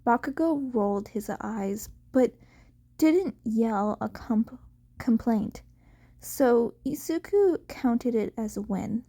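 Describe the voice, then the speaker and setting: Unhurried, mellow woman reading a story. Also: a little high, expressive. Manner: halting reading with stumbles and self-corrections. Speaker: amateur fan fiction audiobook reader. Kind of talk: scripted narration of a story.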